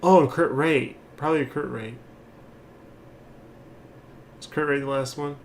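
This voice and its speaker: A man's voice: fairly high pitch, fairly even intonation, a little slow. A man doing casual gameplay commentary as a streamer, rambling and thinking aloud. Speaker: game streamer. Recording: clear background noise.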